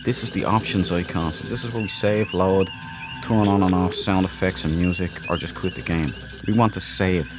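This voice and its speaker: Low-voiced man chatting over game music. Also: steady tone, normal speed. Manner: chatty, informal, rambling explanations. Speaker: gaming video host. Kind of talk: casual let's play commentary.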